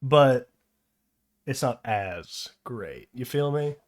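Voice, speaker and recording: A man hosts a laid-back album-review chat, his pitch bouncing around as he rambles through fillers and teasing asides. His voice sounds a little worn after a long session. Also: expressive, drawn-out words. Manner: loose, filler-heavy, playful. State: losing his voice. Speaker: podcast host. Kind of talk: casual music review chat.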